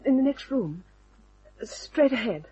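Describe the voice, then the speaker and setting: An actress playing a frightened, pleading young woman in an old-time radio mystery, breathy and husky, speaking haltingly. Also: fairly high pitch, lively intonation, mellow, lo-fi sound, full character voice. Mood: tense, hesitant.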